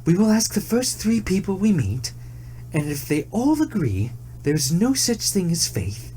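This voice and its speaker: Timid female deer, acted by a storyteller, high-pitched, pleading, polite, fairy-tale character, some background noise. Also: big pitch swings, unhurried, dark tone, low-quality recording. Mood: earnest.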